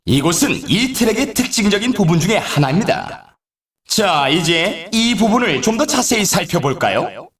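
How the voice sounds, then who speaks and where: A man's voice: high-pitched, big pitch swings, normal speed, crowd noise. A man playing an upbeat, showy sports announcer in a video game, with polished, scripted commentary delivery. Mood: presenting. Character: male snowboard race announcer, upbeat and showy. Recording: echoey, distant-sounding game audio.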